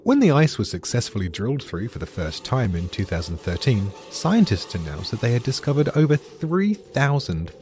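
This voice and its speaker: A man's voice: mid-range voice, very animated, normal speed, hardly any pauses, a little mellow. A British man giving dry, dramatic scripted podcast narration as the explainer.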